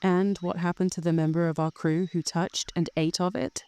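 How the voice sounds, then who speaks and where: An actress playing a cautious, insistent young princess in an audio adventure drama. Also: female voice, lowish voice, little pitch movement, normal speed, darkish tone, smooth, dry recording, close to an everyday voice. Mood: cautioning. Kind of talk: audio drama character acting.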